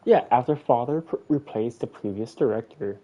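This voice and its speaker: A man's voice: medium pitch, moderate pace, dark tone, muffled recording. A man streaming a video game with casual, reactive commentary, blunt and incredulous in manner.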